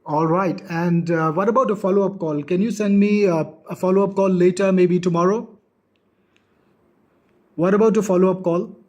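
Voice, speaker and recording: A man answers a call casually in a high-pitched voice. He puts short questions with some hesitation, as the person being called. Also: steady tone, normal speed, darkish tone. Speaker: call recipient. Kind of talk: casual phone conversation.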